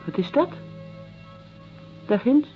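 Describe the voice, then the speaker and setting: An actress playing a polite, wonder-struck lady passenger on a ship in a radio drama, speaking in a dreamy, measured way. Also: a little high, sing-song, faint music behind. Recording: dull lo-fi old radio play recording.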